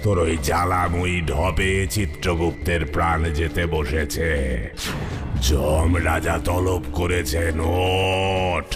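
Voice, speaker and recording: Guy doing a low slow cartoon grim reaper type, stern n bossy, scary. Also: fairly even intonation. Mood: stern, commanding. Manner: commanding, ominous. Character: stern, menacing male messenger of death. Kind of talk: comic cartoon acting. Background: music.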